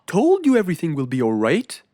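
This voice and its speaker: A woman's voice: fairly low pitch, wildly swooping pitch, brisk, dark tone, no echo. A woman voicing a cheerful, helpful male fox handyman with a reassuring manner in a children's cartoon. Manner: reassuring, friendly.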